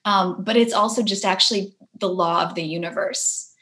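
Soft-spoken woman guest, from Poland. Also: mid-range voice, fairly even intonation, normal speed. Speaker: guest, herbalist and green witch. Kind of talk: reflective interview talk.

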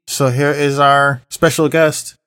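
A man's voice: fairly high pitch, fairly even intonation, slow pace, a bit bright, clean tone. A man from Guyana hosting a podcast, who talks in an easygoing way and keeps dropping "you know what I'm saying.". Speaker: podcast host. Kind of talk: casual conversational interview.

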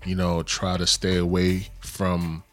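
A man with a New York accent co-hosts a podcast. His voice sits very low, and he talks in a laid-back, slangy but earnest way. Faint music plays in the background. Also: completely flat delivery, slow pace. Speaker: podcast co-host. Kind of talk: casual chat.

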